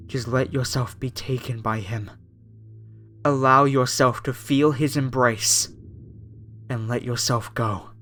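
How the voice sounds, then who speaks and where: A man playing a gently menacing, fervently devout cult zealot in a horror ASMR roleplay. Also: medium pitch, steady tone, a little hiss or hum. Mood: solemn, tender. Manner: slow, soothing, solemn, menacingly tender.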